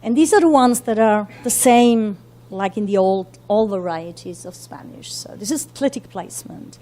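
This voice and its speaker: Fast-talking woman lecturing with many fillers. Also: medium pitch, mellow. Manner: talks quickly with many fillers and self-corrections. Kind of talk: academic lecture. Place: university lecture room.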